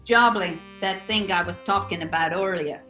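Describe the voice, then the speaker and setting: A woman's voice: fairly low pitch, normal speed, pushed and bright, faint music behind. A woman playing a bossy male gangster club owner with a New York accent, menacing yet genial, in a 1940s radio comedy. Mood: genial host. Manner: bossy, smooth-talking. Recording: echoey room.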